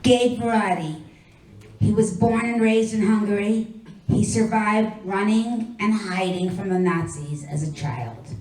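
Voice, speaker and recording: A woman presenting a ceremony, calling people up in a measured way with short introductions. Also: medium pitch, a little mellow. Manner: measured, announcing names and short bios. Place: hall at a memorial ceremony. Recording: echoey hall, mic at a distance, faint ambience.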